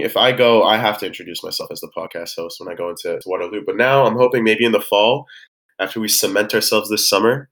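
A man's voice: fairly low pitch, fairly bright tone. A congested young man in his twenties, hosting a podcast in loose, rambling casual chat, recording remotely over a voice call. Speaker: podcast host. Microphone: headphones on a voice call.

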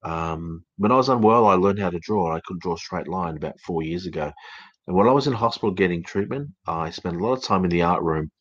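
A man's voice: sits low, animated, a little fast, dull audio. A middle-aged counsellor hosting his podcast from home, with an Australian accent that has a few English traces, chatting casually and rambling with many fillers and restarts. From United Kingdom, raised in Australia. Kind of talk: casual live-stream podcast talk.

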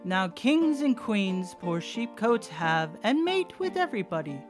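A woman's voice: mid-range voice, big pitch swings, unhurried. A woman reciting old festive verse as a performed reading. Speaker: verse reader. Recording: guitar music under the voice. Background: plucked guitar music.

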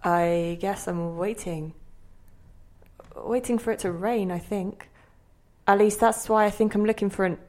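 An actress playing anxious, shifting voices of onlookers and inner thoughts in an audio play, expressive and questioning. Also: female voice, mid-range voice, unhurried, pauses often, close to an everyday voice. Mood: uncertain. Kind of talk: theatrical audio play acting.

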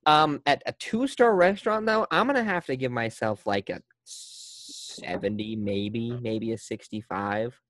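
A man's voice: mid-range voice, measured pace, hardly any pauses, fairly bright tone, dry recording, studio quality. A man co-hosting a casual sports podcast, laid-back and a bit hesitant. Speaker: podcast co-host. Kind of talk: casual chat.

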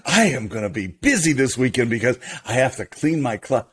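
A man's voice: medium pitch, very animated, brisk, husky. A man co-hosting a podcast in casual back-and-forth chat, playful and teasing. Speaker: podcast co-host.

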